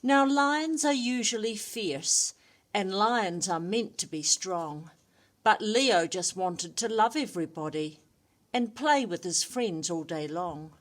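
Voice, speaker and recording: A woman's voice: mid-range voice, animated, slow. A woman storyteller reading a rhyming children's story expressively.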